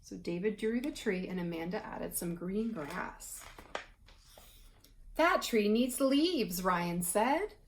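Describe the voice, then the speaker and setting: A woman's voice: mid-range voice, animated, takes it slowly, a little hiss or hum. A woman reading aloud and explaining things to children in a conversational way, like a teacher. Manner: conversational, asks the listener questions while reading.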